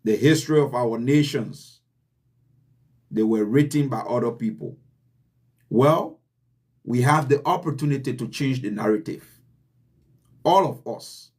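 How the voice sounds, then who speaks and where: A man with a Liberian accent giving an earnest, deliberate motivational talk to his channel's viewers, repeating his key points for emphasis. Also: medium pitch, a little mellow, breathy edge. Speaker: channel host. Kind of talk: motivational address.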